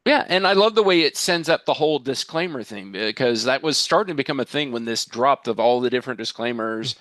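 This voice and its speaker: A man's voice: medium pitch, expressive, a little fast, fairly bright tone, no echo. A man chatting casually on a panel as a performer, in a chatty, enthusiastic manner. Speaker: table read performer.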